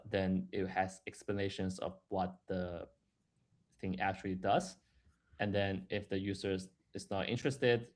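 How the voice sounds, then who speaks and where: A man giving a live, explanatory software demo in a halting way, repeating words and restarting as he goes. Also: sits low. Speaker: software product presenter.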